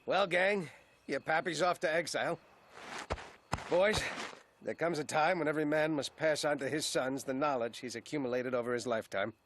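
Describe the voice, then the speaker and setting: A man's voice: fairly high pitch, moderate pace, fairly bright tone, a little hiss or hum, natural voice. A man voicing a whining, explosive cartoon duck, a detective and father given to constant griping. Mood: mock solemn. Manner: whining, belly-aching, explosive complaining. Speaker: private detective. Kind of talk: animated comedy acting.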